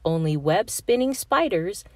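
A woman reads a children's storybook aloud as narrator. Her pace is slow and measured, and the recording is dry with little room sound. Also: fairly low pitch, lively intonation, dry recording. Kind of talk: scripted picture-book narration.

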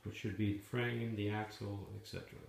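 A man casually narrating, step by step, the assembly of a trailer he is building, talking in a plain, matter-of-fact way with some halting pauses, filmed on a handheld phone in a garage. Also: on the low side, level tone, gravelly, a little hiss or hum. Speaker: DIY video maker. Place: garage or shop and outdoors by a trailer. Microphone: handheld phone camera.

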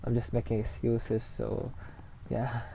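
A man's voice: medium pitch, completely flat delivery, creaky, dark tone, dull audio, slight background noise. Tired young man with a Hong Kong accent. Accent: Hong Kong English. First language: Cantonese. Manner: rambling, halting, with frequent restarts. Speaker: let's play gamer. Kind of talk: casual gameplay commentary.

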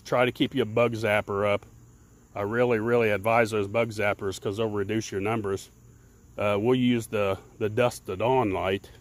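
A man's voice: lowish voice, little pitch movement, moderate pace. A man talking casually about beekeeping while working his hives outdoors, rambling and repeating words. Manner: rambling, repeats words, plain folksy speech. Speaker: beekeeper and channel host. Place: outdoors beside beehives in a grassy yard. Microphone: handheld camera held by the speaker.

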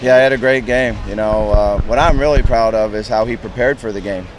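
A man in his fifties, a head football coach, answering reporters outdoors at a stadium in a plain, measured way with lots of "you know" fillers. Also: on the low side, background noise. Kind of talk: post-game press interview.

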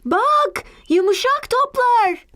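A performer voicing a cheerful, curious little boy in a children's cartoon dub, with an eager, expressive childlike delivery. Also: extremely high pitch, slow pace, no room sound, heavily acted. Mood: excited.

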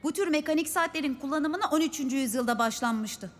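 A woman's voice: high voice, fast talker, lightly acted. A woman voicing a clever, studious child in a children's cartoon, earnestly explaining things. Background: cartoon background music.

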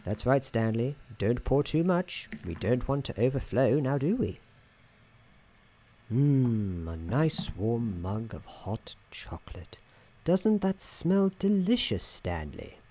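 Casting: man as droll inner-voice narrator for ASMR game roleplay; soft-spoken, mellow, lilting, measured, softly condescending. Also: medium pitch, very animated, exaggerated voice. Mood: gently teasing. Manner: measured, whimsical, gently patronising. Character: droll, soothing male storybook narrator in an office worker's head.

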